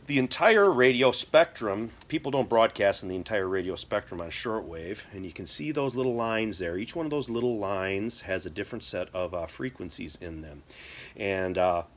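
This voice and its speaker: A middle-aged American man explaining things casually to camera in a halting, folksy way. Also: sits low. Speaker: prepper video host. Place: indoor workshop or garage.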